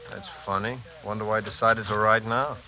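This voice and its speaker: An actor in a 1940s radio suspense play playing a wary, resentful husband, plainspoken and brooding. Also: male voice, lowish voice, steady tone, brisk, background noise, close to an everyday voice. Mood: skeptical. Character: wary, resentful everyman husband narrating his murder tale. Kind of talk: 1940s radio drama narration and acting. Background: rain sounds and music.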